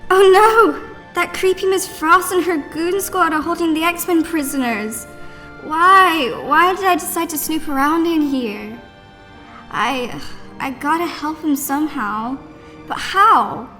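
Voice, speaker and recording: Teen heroine, played by a woman: plucky, anxious girl, high voice, audio drama, long hollow reverb. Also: takes it slowly, pushed and bright, soft background music, full character voice. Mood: frightened, resolute. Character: plucky, anxious young teenage girl hero.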